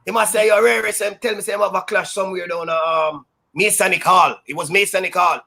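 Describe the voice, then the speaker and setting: A Jamaican man who works as a sound system DJ, telling stories in a loud, high-energy, boastful way that is full of patois. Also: fairly even intonation, brisk, vocal fry. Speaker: sound system DJ, interview guest. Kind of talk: casual storytelling interview.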